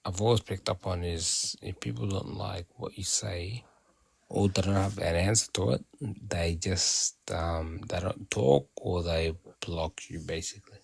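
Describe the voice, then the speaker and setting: A man's voice: sits low, measured pace, vocal fry, breathy edge, no echo. A man from South Sudan chatting casually on a live audio stream, rambling and restarting his phrases.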